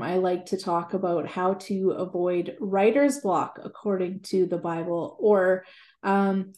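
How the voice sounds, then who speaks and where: A woman's voice: mid-range voice, a little mellow, reverberant. A woman in her forties with a Canadian accent, a guest writer and Bible teacher talking earnestly in an interview and laughing easily. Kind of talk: interview conversation.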